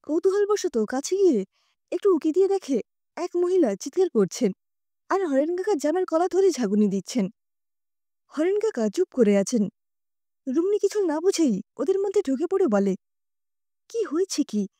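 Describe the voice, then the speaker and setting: A woman narrating a scripted audio story in an expressive, conversational reading. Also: extremely high pitch, moderate pace, pauses often, dry recording.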